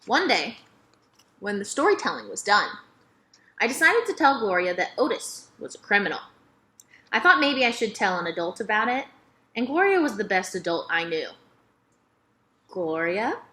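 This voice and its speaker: A woman's voice: medium pitch, big pitch swings, moderate pace, sharp tone. A woman reading a children's novel aloud as scripted first-person narration. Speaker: storybook reader.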